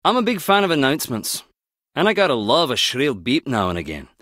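Wry, animated game skip tracer. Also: male voice, mid-range voice, fairly quick. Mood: wry, chatty. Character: wry, guarded male skip tracer, teasing yet protective. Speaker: video game voice actor. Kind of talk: video game character dialogue.

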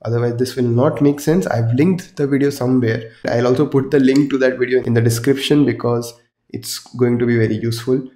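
Mellow-voiced man explaining code, sore throat. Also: medium pitch, steady tone, brisk, echoey room. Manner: conversational, explains step by step with frequent "uh" and restarts. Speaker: coding tutorial host. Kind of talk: casual explanatory coding tutorial. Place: small indoor room with wardrobe.

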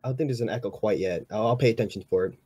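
A man's voice: fairly low pitch, racing, background noise. A man who does amateur voice acting, chatting casually on a livestream. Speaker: amateur voice actor in a cast.

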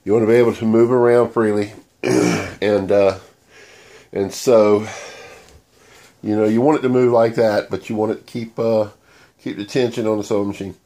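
A man's voice: lowish voice, steady tone, moderate pace, gravelly, long pauses, warm tone. A man with a stuffy voice from a cold, giving a rambling, plain-spoken how-to demonstration into a handheld phone in a sewing room. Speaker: DIY channel host. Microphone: handheld phone camera.

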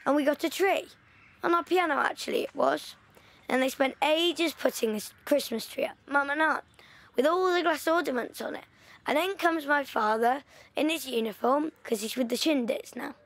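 A woman's voice: high-pitched, moderate pace. A woman playing an earnest wartime schoolboy in an audio play, talking in a hesitant, thoughtful way. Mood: storytelling.

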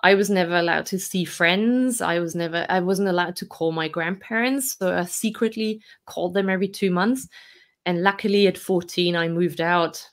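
A woman's voice: on the low side, normal speed. Reflective woman from Austria, guest. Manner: reflective, laughs softly between hard memories, many fillers. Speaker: podcast guest. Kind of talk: candid personal storytelling.

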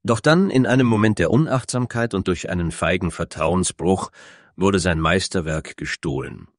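A man's voice: low voice, animated, moderate pace, dry recording, studio quality. A man narrating a scripted fantasy story as its storyteller.

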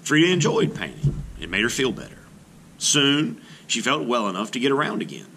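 Bright, lively male read-aloud teacher. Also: mid-range voice, normal speed, gravelly. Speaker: art teacher reading aloud. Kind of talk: read-aloud of a children's biography. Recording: noticeable background noise.

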